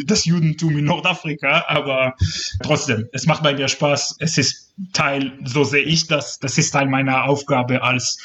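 A man's voice: mid-range voice, fairly bright tone, muffled recording. A man from Israel, a native Hebrew speaker with an Israeli accent, explaining earnestly as a guest in a casual podcast conversation, searching for words at times. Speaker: interview guest, journalist.

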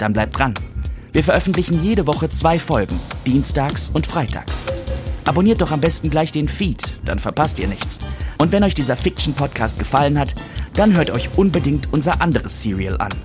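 A man's voice: a little high, very animated, normal speed. A man reading a scripted podcast outro as announcer, with credits-style narration. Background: music.

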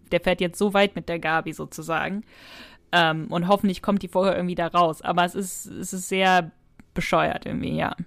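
A woman's voice: mid-range voice, no echo. A woman co-hosting a podcast, discussing a story in casual conversation. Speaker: podcast co-host. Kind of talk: casual chat discussing an audio drama episode.

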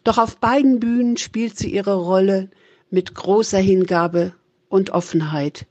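An older woman reading a carefully written voice message in a measured way, listing words one by one. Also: mid-range voice, steady tone, warm tone, dry recording, dull audio. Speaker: mother sending a voice message. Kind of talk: recited voice message. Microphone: phone voice memo.

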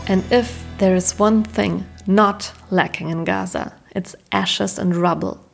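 A woman reading a scripted news narration in a measured, informative way. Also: medium pitch, steady tone, creaky, faint music behind. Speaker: documentary narrator.

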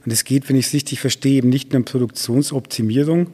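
A man's voice: medium pitch, steady tone, fast, warm tone, breathy edge. A man hosting an interview, putting reflective thoughts and questions to his guest. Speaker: interviewer, host. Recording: long hall reverb.

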